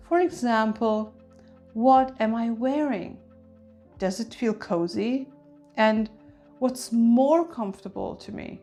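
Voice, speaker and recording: A woman leading a guided meditation in an ASMR style, speaking gently and soothingly with deliberate phrasing. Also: on the high side. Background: soft music with chimes.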